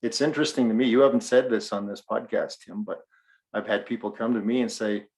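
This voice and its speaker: A man's voice: fairly low pitch, fairly quick. A middle-aged man from Western Canada with a faint Canadian accent, co-hosting a podcast and telling stories while acting out dialogue. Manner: animated storyteller, acts out dialogue. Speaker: podcast co-host. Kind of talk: casual podcast chat.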